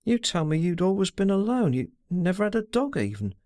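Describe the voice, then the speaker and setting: A man's voice: high-pitched, big pitch swings, fairly quick, a little mellow, dry recording, studio quality, pitched above the performer's own voice. A man reading a novel aloud, voicing a distressed young woman under twenty who speaks hurriedly, stammers and pleads ardently. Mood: desperate.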